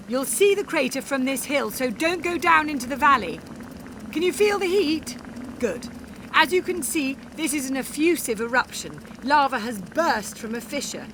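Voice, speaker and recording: A woman's voice: high-pitched, fairly bright tone, slight background noise, heavily acted. A woman playing a wry, exuberant Icelandic cafe owner and volcano guide, narrating in the first person with theatrical, confiding asides. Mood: exclaiming, awed. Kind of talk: dramatised first-person audiobook monologue.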